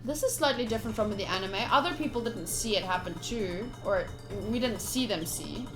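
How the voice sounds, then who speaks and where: A woman's voice: mid-range voice, expressive, brisk. A woman streamer reading visual novel text aloud, with casual commentary of her own. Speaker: game streamer. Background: game music.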